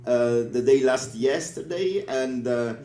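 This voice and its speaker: Slow, halting Italian-accented man. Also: mid-range voice, warm tone, roomy sound. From northern Italy. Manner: hesitant, halting, many filler sounds. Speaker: librarian giving a conference talk. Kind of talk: conference speech. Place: conference room.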